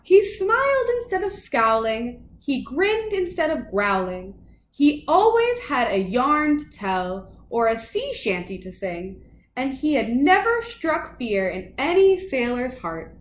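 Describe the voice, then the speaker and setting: A woman reading a children's picture book aloud in an expressive, dramatic way for young listeners. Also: sits high, takes it slowly, echoey room. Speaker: children's storybook reader.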